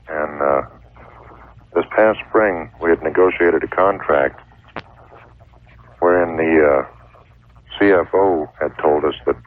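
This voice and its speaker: Middle-aged male caller, very low voice, measured and halting, tinny phone line on radio. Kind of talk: explaining a problem on a phone-in.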